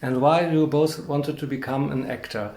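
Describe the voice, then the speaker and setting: A man with a German accent conducting a conversational film interview, telling anecdotes in a rambling, halting way. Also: medium pitch, steady tone, a little mellow. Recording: echoey room.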